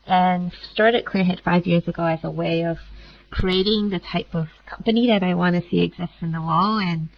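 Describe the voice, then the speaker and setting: A woman's voice: fairly low pitch, steady tone, creaky, muffled recording. Chatty Malaysian-born woman founder. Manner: chatty, quick asides with frequent "like" and "kinda". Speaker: founder guest. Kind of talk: casual interview talk.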